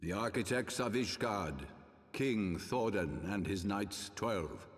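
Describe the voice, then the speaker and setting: An actor playing a stern, eloquent older religious ruler in a fantasy game, speaking measured, archaic oratory. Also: male voice, fairly low pitch, animated. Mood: grave, measured. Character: stern, eloquent older male religious ruler, a father justifying old sins. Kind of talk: dramatic fantasy game cutscene acting. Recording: long reverberant hall.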